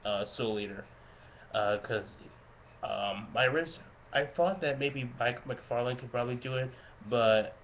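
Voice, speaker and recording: A man giving casual, unscripted fan commentary in a halting way, often searching for his words. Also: medium pitch. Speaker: fan video creator. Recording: muffled lo-fi home mic, background noise.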